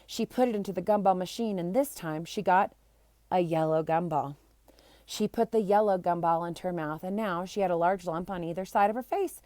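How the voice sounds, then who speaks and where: A woman reading a children's book aloud in an expressive, animated storytelling style. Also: on the low side, brisk, no echo, studio quality. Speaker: amateur storybook reader.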